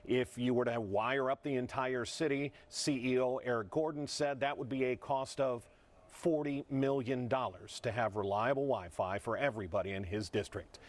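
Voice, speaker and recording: A man's voice: medium pitch, steady tone, normal speed. A man with an American accent giving an explanatory news report as a television reporter.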